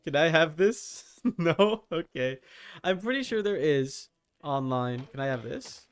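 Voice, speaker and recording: A man's voice: a little high, expressive, unhurried, clear, resonant tone. A man giving casual livestream commentary on a game, thinking aloud in slangy language with some swearing. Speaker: livestream host.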